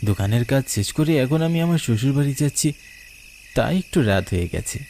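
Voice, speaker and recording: A man's voice: medium pitch, animated, fairly quick, dark tone, voice pushed up, close to an everyday voice. A man playing a polite, hesitant and curious newly married young shopkeeper in a cartoon ghost story. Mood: polite, eager. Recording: clear background noise of night crickets.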